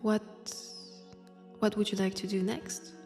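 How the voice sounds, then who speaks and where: A woman's voice: mid-range voice, flat delivery, takes it slowly, a little mellow, faint music behind. A woman with a French accent playing a wistful, wry centuries-old vampire in a convent, in an intimate audio roleplay, hesitant and sighing. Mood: tender, nostalgic. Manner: hesitant, sighing, drifting into near-whispers. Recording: long church-like reverb.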